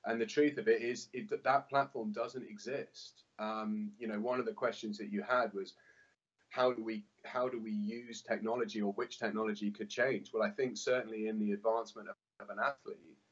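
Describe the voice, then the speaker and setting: A man's voice: lowish voice, steady tone, moderate pace. A man in his thirties giving earnest answers in a remote interview from a room indoors, often repeating words as he thinks. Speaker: interview guest. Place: indoor room with glass doors. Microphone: laptop webcam on video call. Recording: dull lo-fi video call audio.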